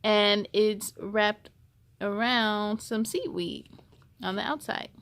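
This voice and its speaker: A woman hosting a children's story time, reading a picture book aloud in an expressive, sing-song way and chatting to young listeners. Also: mid-range voice, slow.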